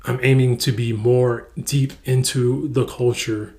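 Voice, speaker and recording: A man's voice: medium pitch, fairly even intonation, a little slow, darkish tone. A young man from the United States, talking earnestly in a reflective personal vlog and stumbling over words with frequent repeats. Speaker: vlogger.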